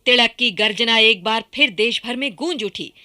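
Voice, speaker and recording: A woman's voice: on the high side, normal speed, bright, forceful tone. A woman narrating a scripted historical radio drama in a dramatic, projected manner.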